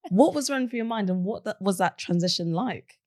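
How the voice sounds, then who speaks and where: A woman hosting a podcast interview, playful and quick to joke. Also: mid-range voice, animated, darkish tone, no room sound, studio quality. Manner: playful, laughs along. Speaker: podcast host. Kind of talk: casual interview chat.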